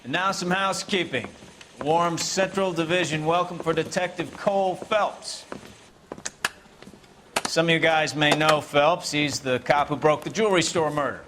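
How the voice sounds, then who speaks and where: A man's voice: on the high side, moderate pace, a bit bright, a little hiss or hum, close to an everyday voice. An actor playing a blunt, sardonic American police captain, giving orders to his squad in a video game drama. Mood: sardonic, commanding. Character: brusque, joking police captain running a briefing.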